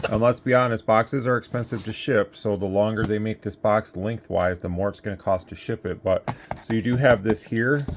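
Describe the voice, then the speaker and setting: A man giving a casual hands-on product review, rambling a little as he thinks aloud, recorded on a phone. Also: fairly low pitch. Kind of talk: casual unboxing review, talking through thoughts. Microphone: phone, handheld. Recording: clear background noise, recorded on a phone.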